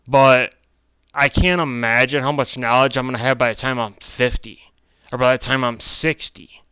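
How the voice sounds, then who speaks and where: A man's voice: medium pitch, sharp tone, clear, resonant tone, no echo. A man in his twenties giving a rambling, casual lecture over slides on his own channel, often breaking off into digressions and correcting himself. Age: 20s. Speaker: channel host.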